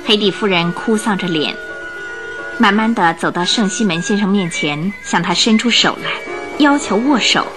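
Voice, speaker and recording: Female radio drama narrator, mid-pitched, highly animated and dramatic storytelling. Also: moderate pace, over music. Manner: expressive, theatrical.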